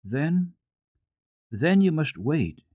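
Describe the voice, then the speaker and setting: A man's voice: on the high side, sing-song, extremely slow, no echo, phone-quality sound, pitched above the performer's own voice, full character voice. A man voicing an earnest, devout, trusting little girl in a solemn, simple and assured way, for a storybook reading.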